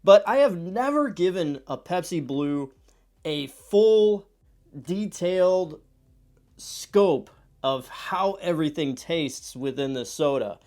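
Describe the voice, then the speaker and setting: A man's voice: on the high side, slow. A man in his thirties, stuffed up from a cold, chatting casually through a soda review at his desk at home. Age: 30s. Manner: chatty and animated, acts out quoted voices. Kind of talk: casual product review vlog. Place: home room with shelves of soda cans. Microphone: camera at desk distance.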